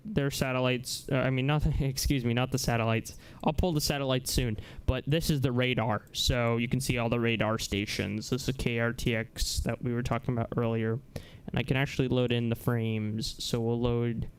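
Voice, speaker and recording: A man hosting a casual live software demonstration, talking haltingly as he searches for words. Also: mid-range voice, level tone, slight background noise, low-quality recording. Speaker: livestream host. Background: music.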